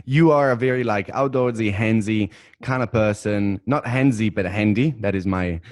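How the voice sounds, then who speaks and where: A man's voice: on the low side, moderate pace, no room sound, clean studio sound. A man whose first language is Italian hosts a casual podcast chat over a video call, enthusiastic and laughing often. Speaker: podcast host.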